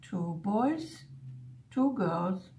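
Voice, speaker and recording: A woman in her seventies, a native Hungarian speaker who grew up in the USA, chatting casually and reminiscing with pauses as she works on a craft. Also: mid-range voice, lively intonation, mellow, a little hiss or hum. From born in Hungary, grew up in the USA. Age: 70s. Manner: unhurried, pausing storytelling. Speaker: craft vlogger.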